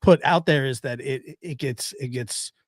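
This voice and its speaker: A man around forty hosting a casual live-stream chat, halting and circling back over his phrases. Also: mid-range voice, dry recording, studio quality. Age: late 30s to early 40s.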